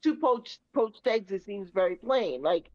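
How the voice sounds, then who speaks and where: A woman chatting on a stream with quick, amused reactions. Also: medium pitch, expressive, lo-fi sound. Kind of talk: casual stream chat.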